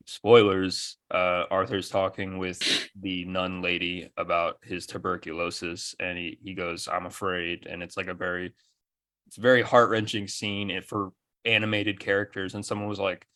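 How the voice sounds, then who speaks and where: Male podcast co-host, lower-pitched, emphatic and opinionated, casual speech with plenty of swearing. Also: a bit bright. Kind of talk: casual opinionated gaming chat.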